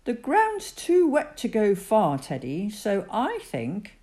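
A woman voicing a kind, sensible father teddy bear, gentle and reassuring in a children's storybook. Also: mid-range voice, wildly swooping pitch, slow, pitched above the performer's own voice.

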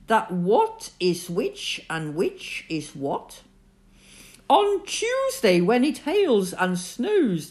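A woman voicing a gentle, slow-witted male bear who talks in a slow, earnest, musing way. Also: on the low side, heavily acted.

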